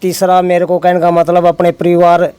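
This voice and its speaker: A man's voice: high-pitched, monotone, moderate pace, a crowd in the background. A man in his thirties with a Haryanvi accent, an interview guest giving a conversational personal account and padding it with a repeated filler phrase.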